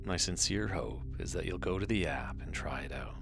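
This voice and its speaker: A man speaking calmly and softly as the host of a sleep podcast. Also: low-pitched, normal speed, gravelly. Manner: calm, hushed, soothing. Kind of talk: calm spoken podcast introduction for sleep. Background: music.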